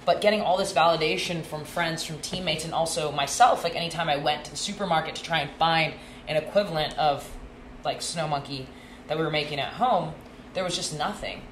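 A woman's voice: low voice, a little fast. A woman in her twenties from Hong Kong, a company founder telling her founding story on a podcast in a casual, chatty way full of ums and likes. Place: sunny glass-walled room, indoors. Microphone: small desk mic on stand. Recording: echoey room, faint hiss.

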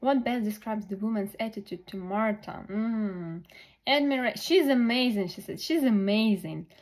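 A woman tutoring test preparation, thinking aloud through questions slowly with many pauses and fillers. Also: medium pitch, darkish tone.

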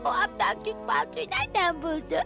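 A woman's voice: extremely high pitch, wildly swooping pitch, a little fast, heavily acted. A woman playing a dainty, delicate little female Smurf in a dubbed children's cartoon. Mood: languid. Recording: loud music behind the voice.

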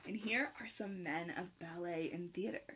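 A young adult woman reading a rhyming picture book aloud to children in an expressive, sing-song manner with clear phrasing. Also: lowish voice, moderate pace, a little hiss or hum. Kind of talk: children's read-aloud, rhymed storytelling.

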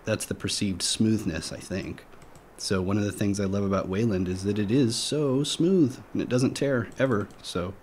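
A man's voice: lowish voice, normal speed, a little mellow, slight background noise. A man giving casual, unscripted commentary as a tech video host, rambling and thinking aloud as he goes.

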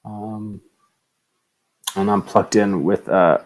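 Guy doing a casual tutorial on an earbud mic, low voice, kinda halting and informal. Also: poor audio. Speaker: tutorial presenter, educator. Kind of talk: casual screen-recording tutorial. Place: room at home, indoors. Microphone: earbud headset microphone.

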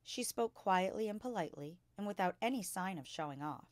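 A woman reading a children's book aloud as a storyteller, in a measured and carefully expressive way. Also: medium pitch, no echo.

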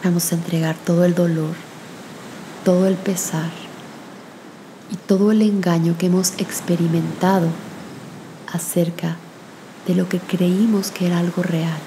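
Slow, low-voiced woman guiding meditation. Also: little pitch movement, slight background noise, poor audio. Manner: soft, gentle, soothing, with long pauses. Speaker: meditation guide. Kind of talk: guided meditation with spoken prayer.

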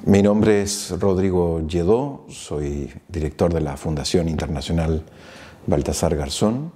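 A man in his fifties from Chile with a Chilean accent, giving a recorded lecture to camera on a lapel mic, measured and full of "eh" pauses. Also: low voice, warm tone. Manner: measured, many "eh" pauses, gesturing hands. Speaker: foundation director, lecturer. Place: small bright office with blinds, indoors.

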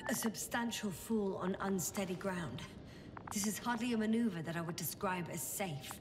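An actress playing a composed, wry woman scientist exploring a planet in a video game, speaking in a measured, dry way. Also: medium pitch, background noise, close to an everyday voice.

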